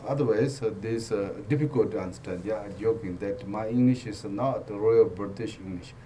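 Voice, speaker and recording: A man with a Tibetan accent giving a Buddhist teaching in simple, broken English, repeating phrases and joking gently. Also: lowish voice, normal speed, slight background noise. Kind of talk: dharma teaching talk.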